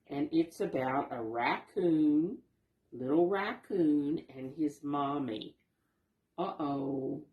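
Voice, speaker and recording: Slow, expressive woman storyteller for children. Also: low-pitched, echoey room. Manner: simple, patient explaining, with questions to children. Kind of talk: children's read-aloud lesson, addressing young listeners.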